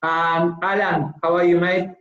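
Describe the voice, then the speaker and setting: A man's voice: high voice, flat delivery, a little slow, a bit bright, soft background music. A man with an Argentine Spanish accent, hosting a conversational interview in a friendly, appreciative manner. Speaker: interviewer, football coach. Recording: muffled, echoey room sound.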